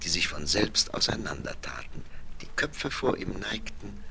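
An actor as an expressive, dramatic narrator of a 1970s German fairy-tale audio drama. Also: male voice, lowish voice, a little slow, vocal fry, full character voice. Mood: measured storytelling. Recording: faintly noisy vinyl record.

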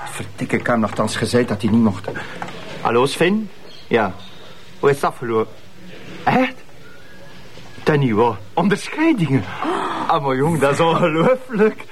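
Excitable, lively Flemish detective. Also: male voice, medium pitch, unhurried, pauses often. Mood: mildly exasperated, then excited, proud. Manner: colloquial, excitable. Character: excitable, grumbling male junior police detective. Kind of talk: naturalistic TV police drama acting.

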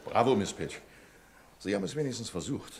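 A resolute boss in a radio drama. His voice is low and swings widely as he gives orders with dry authority. The 1970s recording carries faint noise. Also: lively intonation, a little fast, gravelly. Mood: dry, composed. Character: commanding, resolute bank boss trapped with his staff. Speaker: actor.

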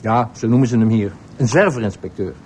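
A man's voice: medium pitch, a little fast, darkish tone, slight background noise, close to an everyday voice. A man playing a dutiful, matter-of-fact village police sergeant who reports deferentially, in a radio drama.

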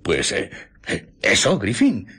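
A nervous, reluctant confidant in a radio play. The voice is very deep and heavily husky. It moves up and down a lot, hesitant and guarded. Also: male voice, wildly swooping pitch, slow, pushed and bright, exaggerated voice. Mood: nervous, evasive. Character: cautious, nervous gentleman, uneasy reluctant confidant. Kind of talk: Spanish radio drama acting.

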